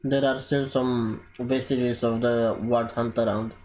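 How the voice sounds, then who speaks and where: A man's voice: medium pitch, level tone, measured pace, darkish tone, poor audio. A man streaming a video game, commenting casually and reading dialogue choices aloud. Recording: faint background noise, game ambience.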